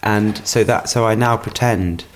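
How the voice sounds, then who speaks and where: A man's voice: fairly low pitch, fairly even intonation, unhurried, slight background noise. A man co-hosting a podcast in casual chat, giggly and playful with frequent laughs. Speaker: podcast co-host.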